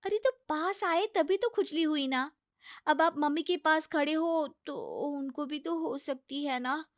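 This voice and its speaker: Woman playing a high, sarcastic young daughter-in-law. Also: unhurried, no room sound, clean studio sound. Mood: teasing, sly. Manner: pleading, exasperated, teasing retorts. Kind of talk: comic Hindi cartoon story acting.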